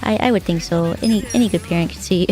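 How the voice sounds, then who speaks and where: A young woman streaming a game, chatting softly and casually with viewers, often repeating words as she goes. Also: lowish voice, soft background music, poor audio. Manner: soft-spoken, halting, repeats words. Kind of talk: casual gaming stream chat.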